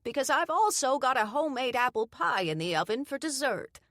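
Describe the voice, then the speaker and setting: A woman voicing a cheerful, fussing elderly woman who dotes on her guest, in a high, loud, slow, doting voice. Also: very animated, no room sound, studio quality. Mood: insistent, bossy.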